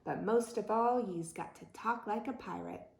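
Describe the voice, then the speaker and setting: A woman's voice: medium pitch, normal speed, creaky, close to an everyday voice. Lady doing a blustery pirate captain guy, bellows in pirate talk, demanding, storybook style, echoey. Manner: bellowing, rolling pirate dialect.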